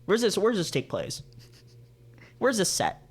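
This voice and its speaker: A man's voice: high voice, huge pitch swings, measured pace, breathy edge. A man streaming a casual read-aloud of visual novels, playfully breaking off into jokey, questioning asides.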